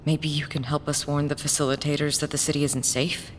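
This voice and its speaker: Echoing, low-voiced, blunt smuggler woman voiced by an actress. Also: level tone, brisk, natural voice. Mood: urgent, serious. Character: earnest, defiant working-class woman smuggler on the run. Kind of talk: scripted audio drama acting. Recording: long reverb, faint rumbling background noise.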